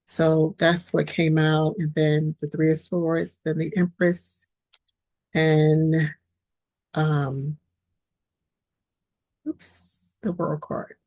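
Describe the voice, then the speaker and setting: Raspy, low-voiced woman hosting a podcast. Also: little pitch movement, very slow, pauses often, a little mellow, no room sound, rough recording. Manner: rambling, full of fillers and restarts. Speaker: podcast host and tarot reader. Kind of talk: casual tarot reading chat. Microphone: built-in mic, no headset.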